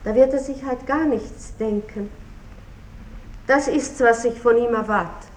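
An actress playing a worldly, dignified noblewoman, composed and gently commanding, in a radio drama. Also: on the high side, a little slow, distant mic, close to an everyday voice. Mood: cool, firm. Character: worldly, dignified Viennese noblewoman, wistfully renouncing. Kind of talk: 1960s German radio drama acting. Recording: roomy, faintly noisy old radio recording.

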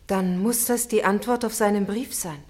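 An actress playing a composed, gracious younger lady of the house in a radio drama, polite and measured. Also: medium pitch, steady tone, darkish tone, natural voice. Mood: serious, informative.

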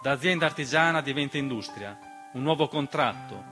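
A man's voice: mid-range voice, lively intonation. Theatrical male storyteller over piano music. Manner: measured, dramatic delivery with deliberate pauses and repeated phrases. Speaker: stage storyteller and narrator. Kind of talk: scripted theatrical narration, radio theatre monologue.

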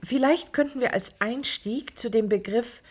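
An actress playing a polite, inquisitive science moderator in a radio play, articulate and probing. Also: female voice, a little high, a little slow, darkish tone, slightly rough. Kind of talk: radio play acting as a studio interview.

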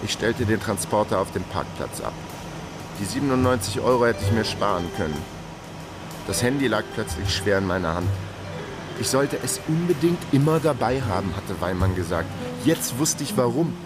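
A man's voice: lowish voice, expressive, normal speed, over music, close to an everyday voice. A man playing a brooding, self-mocking detective who narrates a crime radio drama in a laconic way. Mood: wry. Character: wry, brooding down-on-his-luck male detective.

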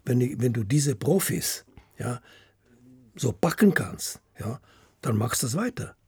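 A man with a husky, gravelly voice giving interview recollections as an author, haltingly, often ending phrases with tag questions. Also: mid-range voice, big pitch swings, darkish tone, no room sound. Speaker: author of radio plays, guest.

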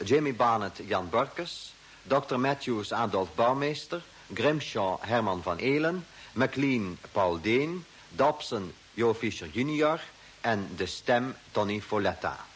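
A man announcing a radio serial in a formal, deliberate mid-century broadcast style. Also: mid-range voice, expressive, breathy edge. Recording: faintly hissy old radio recording.

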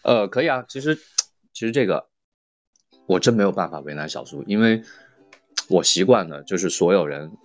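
A man's voice: sits low, lively intonation, normal speed, pauses often, soft background music. A man chatting and bantering casually as a guest on a show. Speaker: voice actor guest.

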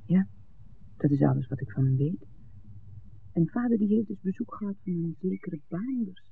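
An actor playing a frank young villager from a smuggling family, talking informally in a 1960s radio play. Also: male voice, high-pitched, expressive, normal speed, gravelly. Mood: matter-of-fact. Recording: tinny old radio recording with noise.

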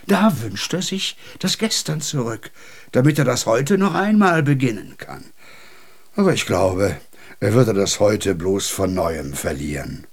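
An actor playing a whimsical, kindly junk collector in a German radio play, musing and animated with a raspy voice. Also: male voice, on the low side, unhurried, exaggerated voice. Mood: wistful, musing.

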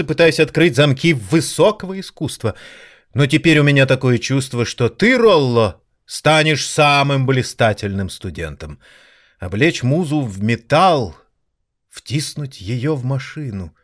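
A man's voice: mid-range voice, fairly bright tone. A man playing an elderly piano maestro in an audio drama, grandiloquent and indulgent, with theatrical, florid delivery. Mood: grand, delighted. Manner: theatrical, florid, condescendingly affectionate.

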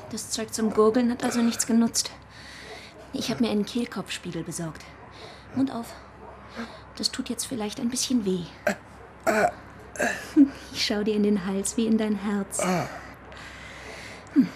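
An actress playing a caring, practical deaconess with a matter-of-fact, intimate delivery in a radio drama. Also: female voice, on the high side, measured pace, pauses often, noisy background, close to an everyday voice. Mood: examining, concerned. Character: caring, practical deaconess, affectionate but proper. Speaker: actor in a radio play. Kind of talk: German radio crime drama dialogue.

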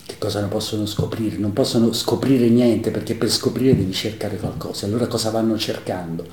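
A man's voice: on the low side, steady tone, a little fast, a little mellow. A man giving his opinion in an interview, with emphatic, repetitive, scornful rhetoric. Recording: roomy, echoing interview recording.

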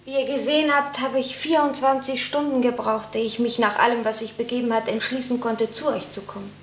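A quiet, determined young daughter, played by an actress, speaks in subdued, earnest tones in a radio play. Her voice sits fairly high. An echoing room and a faint hiss surround it. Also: fairly even intonation, brisk, natural voice.

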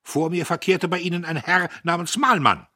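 Brief: shrill, combative young gentleman, put on by a male narrator, raised husky voice. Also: moderate pace. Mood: self-righteous. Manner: shrill indignant shouting. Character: haughty young man, shrill, self-righteous, combative.

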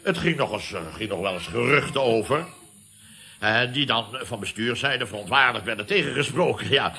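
An actor playing a pompous, nostalgic company boss who orates emphatically, in a Dutch radio comedy serial. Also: male voice, mid-range voice, expressive, moderate pace, bright, forceful tone. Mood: relishing, nostalgic. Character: bombastic, nostalgic male boss of a building firm.

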